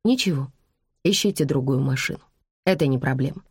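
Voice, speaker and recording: A woman's voice: rumbling low, brisk, darkish tone, husky, dry recording, studio quality, heavily acted. A woman voicing a weary, wry, plain-spoken woman driver in an audiobook, in a musing, matter-of-fact manner that turns firm when fed up.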